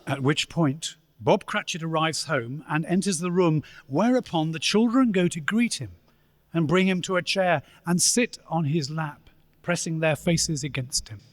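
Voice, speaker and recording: A man narrating a ghostly Christmas radio play as its storyteller, in a theatrical, deliberate manner. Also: on the high side, no room sound, natural voice. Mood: gentle storytelling.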